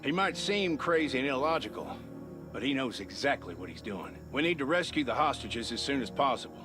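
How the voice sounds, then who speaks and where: An actor playing a serious male shelter leader in a game, briefing a rescue mission in a grave, measured way. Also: mid-range voice, big pitch swings, over music, lightly acted. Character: serious male shelter commander, steady and grim. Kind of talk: game cutscene voice acting.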